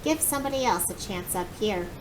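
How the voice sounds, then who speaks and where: A woman's voice: expressive, brisk, lightly acted. Woman as an earnest young werewolf, noisy recording. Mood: polite. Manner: earnest, polite. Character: earnest, proud young male werewolf player. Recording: clear background noise with rumble.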